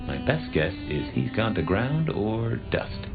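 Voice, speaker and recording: Wry, deep-voiced, swooping vampire. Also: male voice, very animated, a little slow. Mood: musing. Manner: folksy, wry, theatrical. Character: wry, chatty century-old male Malkavian vampire. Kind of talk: video game dialogue acting. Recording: muffled game audio under music.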